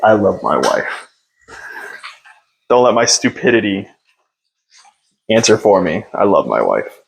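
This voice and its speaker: A man's voice: lowish voice, big pitch swings, unhurried, gravelly, poor audio. Young male podcast host, unscripted and self-deprecating, filler-heavy delivery, recorded on a phone at home with slight background noise. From United States. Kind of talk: unscripted casual monologue. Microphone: phone on a stand.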